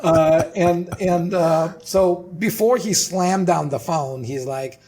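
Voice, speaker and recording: Husky man with a Russian accent. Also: fairly high pitch, slow pace, darkish tone. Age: 40s. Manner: storytelling, frequent restarts and fillers. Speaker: guest, trademark attorney. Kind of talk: casual podcast interview.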